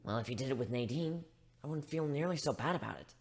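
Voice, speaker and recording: A man's voice: mid-range voice, expressive, fast talker, voice pushed down, close to an everyday voice. A man voicing a frank, persuasive female griffin in a dramatic story reading, blunt and coaxing.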